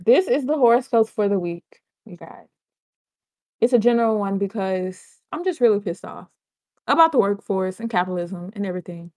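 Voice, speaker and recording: A woman's voice: medium pitch, expressive, moderate pace, mellow, no room sound, clean studio sound. A woman co-hosting a podcast, ranting and joking in casual, profane banter. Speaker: podcast co-host.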